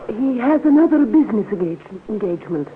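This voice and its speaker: Woman acting high, gracious widow, tinny radio. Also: lively intonation, brisk, lightly acted. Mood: demure. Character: genteel, smitten widow, sweetly gracious and eager. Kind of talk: 1950s radio drama acting. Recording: tinny, noisy 1950s radio recording.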